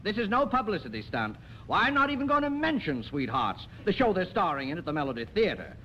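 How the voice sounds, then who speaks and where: A man's voice: sits high, very animated, fast, bright, forceful tone, full character voice. An American man playing a wheedling, flustered showbiz promoter who stammers ingratiatingly in a 1940s radio comedy. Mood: showy, flustered. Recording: muffled, noisy 1940s radio broadcast.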